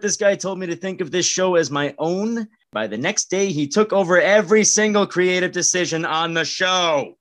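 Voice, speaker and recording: A man hosting a podcast in scripted comedic banter, sounding exasperated. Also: a little high. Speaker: podcast host.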